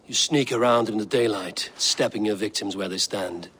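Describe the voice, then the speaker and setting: A man's voice: fairly low pitch, normal speed, fairly bright tone, slight background noise, close to an everyday voice. A man playing a proud, blunt Viking warrior in a video game, with a terse, wry and defiant way of talking. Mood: wry, resolute. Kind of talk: video game dramatic acting.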